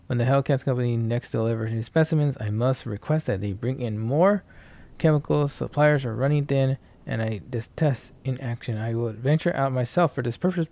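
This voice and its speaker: Low-key male streamer with a gravelly voice. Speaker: livestreamer playing a video game. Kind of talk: casual gameplay commentary, reading game text aloud. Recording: dull, slightly noisy stream audio.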